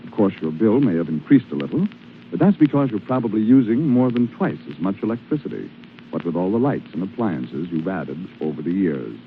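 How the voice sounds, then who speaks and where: A man's voice: low voice, sing-song, fairly quick. A man working as a polished 1940s radio announcer, reading scripted commercial copy in a salesmanlike way. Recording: noisy narrow-band 1940s radio recording.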